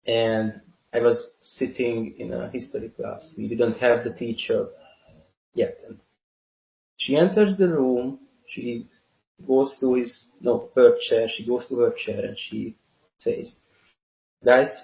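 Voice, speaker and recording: A man of twenty-one from Hungary with a Hungarian accent, giving deliberate, halting explanations as an interview guest and repeating phrases. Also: mid-range voice, vocal fry. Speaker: interview guest, university student. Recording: roomy, muffled lo-fi call-like audio.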